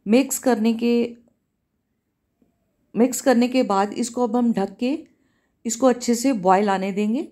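A woman cooking-channel host, giving a calm, step-by-step recipe voice-over in a home kitchen. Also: fairly high pitch, unhurried, long pauses. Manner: calm, instructive, repeats steps.

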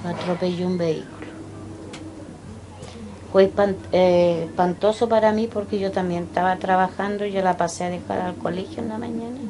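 An older Chilean woman recalling her life slowly and haltingly, pausing often to remember. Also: on the low side, steady tone, background noise. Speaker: interviewee. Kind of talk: personal recollection. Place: indoors, small room by a window.

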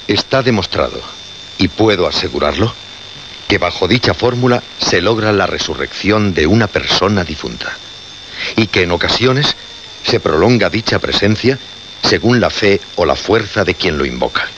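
A man with a Castilian accent acting the solemn, insistent host of an occult show in a radio drama, phrasing deliberately and emphatically. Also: sits low, animated, a bit bright. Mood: assured, grave. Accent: Castilian Spanish. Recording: noisy, dull old radio recording.

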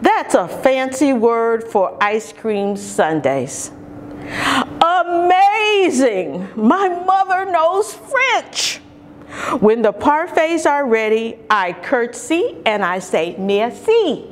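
Storytime reader: middle-aged woman, highish swooping voice, expressive emphasis for small children, some room noise. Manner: expressive, deliberate, with drawn-out emphasis on key words. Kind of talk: children's picture-book read-aloud. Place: large carpeted indoor lobby or lounge.

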